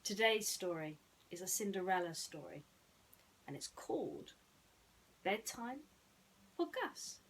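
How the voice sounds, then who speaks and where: A woman hosting and narrating bedtime stories in a gentle storytelling style. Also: medium pitch, big pitch swings, slow, long pauses, slight background noise.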